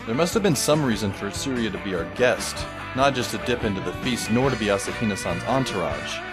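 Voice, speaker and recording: Guy narrating a story, dry sarcastic tone, lively delivery, music playing under. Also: fairly low pitch, brisk. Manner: dry, sardonic. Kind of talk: first-person audio drama narration.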